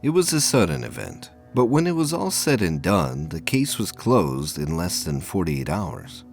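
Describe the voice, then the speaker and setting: A man with a low voice narrates a science fiction audio drama. His delivery is formal and measured, with lively movement in pitch, and faint music plays behind him. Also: creaky.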